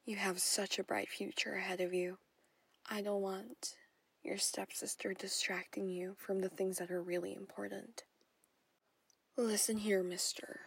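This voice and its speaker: A woman's voice: medium pitch, level tone, a little slow, dry recording, exaggerated voice. A woman in a whispered audio roleplay, playing a jealous, possessive stepmother who coaxes and controls. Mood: soothing, possessive. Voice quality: breathy whisper. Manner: intimate whispering, coaxing and controlling.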